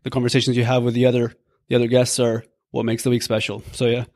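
Fast-talking man. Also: mid-range voice, monotone, a little mellow, no echo, clean studio sound. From Brazil. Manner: friendly, reflective, many ums. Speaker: discussion participant.

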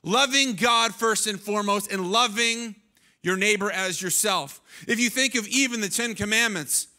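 A man in his thirties preaching a sermon on a church stage into a handheld mic, animated and often restarting his phrases. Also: high voice, normal speed. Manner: animated, gestures while preaching, many restarts. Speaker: pastor. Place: large church stage, indoors. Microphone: handheld microphone.

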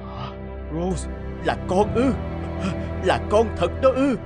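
A man's voice: high-pitched, sing-song, slow pace, exaggerated voice. An actor playing an emotional old king and loving father in a dubbed cartoon, speaking in dramatic exclamations. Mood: moved, tender. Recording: loud music behind the voice.

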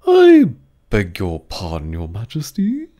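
A man's voice: lowish voice, wildly swooping pitch, slow pace, dark tone, exaggerated voice. Storybook Hatter, flustered and eccentric, as a male narrator puts him on.

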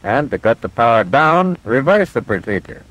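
A man's voice: medium pitch, very animated, normal speed, fairly bright tone, highs cut off. A man playing a patient flight instructor, explaining methodically in the style of a 1940s military training film. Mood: patient, instructive. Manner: clear, methodical instructional delivery. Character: instructor pilot, patient, confident, explaining cockpit controls. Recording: vintage 1940s film soundtrack.

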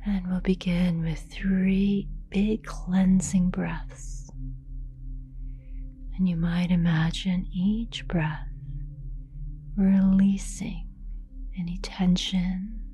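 A woman leading a guided meditation in a soft, breathy near-whisper, calm and gentle, with long unhurried pauses. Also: fairly low pitch. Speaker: yoga nidra meditation guide. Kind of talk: guided meditation, slow and soothing narration.

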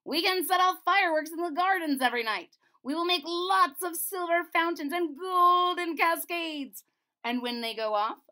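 Woman as an excited, high-pitched storybook mathematician. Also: full character voice. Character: excited, confident male royal mathematician in a storybook.

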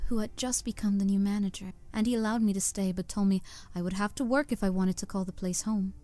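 A woman's voice: mid-range voice, dark tone, lightly acted. A woman voicing an earnest young opera chorus singer who reflects on her past, in a fan audio drama with faint music behind.

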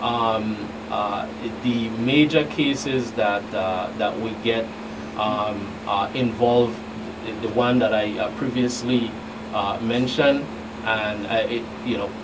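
A middle-aged man answering interview questions as a guest investigator, in a halting way with repeated words. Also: fairly low pitch, fairly even intonation. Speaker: guest federal investigator on a radio show. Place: small radio studio. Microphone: studio mic on boom arm. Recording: distant mic, clearly noisy room hum.

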